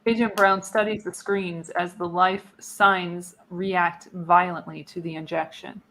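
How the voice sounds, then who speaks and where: A woman's voice: on the low side, little pitch movement, a little slow, a bit bright, poor audio. A woman narrating screenplay stage directions for a live radio play, reading expressively with the occasional stumble. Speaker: narrator, show host.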